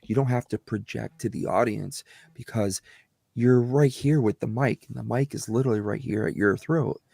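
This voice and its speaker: A man's voice: medium pitch, moderate pace, creaky, husky, dry recording. Middle aged guy, kinda whiny voice, rambly casual chat, dry sound. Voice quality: slightly whiny voice. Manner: animated, rambling, many restarts. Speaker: actor and guest. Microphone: close mic.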